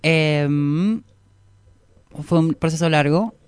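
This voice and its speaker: Low-voiced Argentine woman, playful and deadpan. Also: extremely slow, smooth. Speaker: musician guest being interviewed. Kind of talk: casual interview chat.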